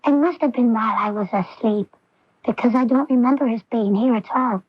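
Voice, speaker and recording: An actress playing an earnest, intense young daughter in a 1980s radio drama, with deliberate, theatrical phrasing. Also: female voice, fairly high pitch, dry recording, lightly acted. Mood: eager, insistent. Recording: dull, dry AM radio recording.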